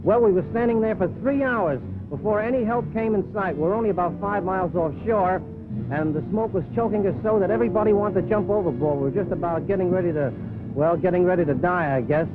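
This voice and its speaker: A man gives an eyewitness account in a quick, high voice, plain and unpolished. The sound is a narrow, tinny 1930s newsreel track with music audible. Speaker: ship crew member, eyewitness.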